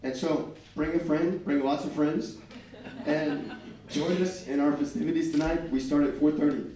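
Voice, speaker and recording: Hoarse man pitching new restaurants. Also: fairly even intonation, normal speed, background noise. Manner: upbeat promotional pitch. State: losing his voice. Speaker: restaurant representative. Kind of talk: business presentation. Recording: echoey room, far from mic.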